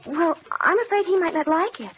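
A sweet, scatterbrained young wife in vintage radio comedy. Her very high voice lilts with naive, gushing innocence over a muffled, faintly noisy recording. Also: animated, brisk. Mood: sheepish. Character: sweet, ditzy, innocent young married woman. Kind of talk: 1940s radio comedy acting.

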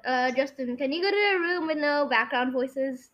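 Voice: high voice, normal speed, dull audio. A young-sounding female podcast host chatting casually and running a guessing game.

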